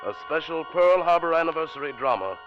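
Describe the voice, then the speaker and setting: Male vintage radio announcer, formal, measured, portentous, higher-pitched, prominent music. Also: expressive, muffled recording.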